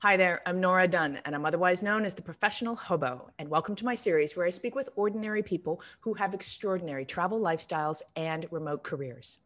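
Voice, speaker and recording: A woman's voice: lowish voice, quick pace, few pauses, a bit bright, soft background music. Chatty woman hosting a video-call interview. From Canada. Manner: chatty, laughs easily, self-deprecating. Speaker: host. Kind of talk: casual interview. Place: home in Canada.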